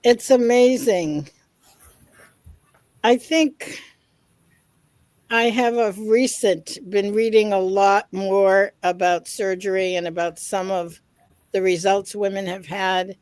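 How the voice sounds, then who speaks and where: A woman's voice: mid-range voice, fairly even intonation, slow pace, long pauses, darkish tone, no echo. A woman co-hosting a casual online panel, enthusiastic as she reads out viewer comments. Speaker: webinar co-host.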